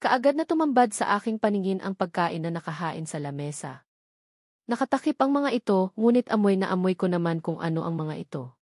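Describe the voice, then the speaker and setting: A woman's voice: mid-range voice, animated, a little fast, a little mellow, dry recording, studio quality. A woman with a Filipino accent reading a first-person novel aloud as a scripted narration. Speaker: audiobook narrator.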